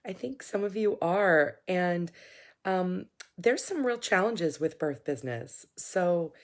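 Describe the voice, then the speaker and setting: Hoarse midwife hosting a live chat. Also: female voice, on the low side, animated, takes it slowly. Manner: chatty, frank, coaching tone with fillers. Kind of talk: casual live-stream talk.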